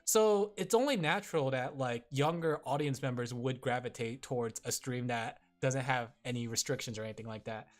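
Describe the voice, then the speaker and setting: A man's voice: mid-range voice, normal speed, hardly any pauses. A man streaming and chatting casually with his viewers, rambling with lots of fillers and frequent giggles.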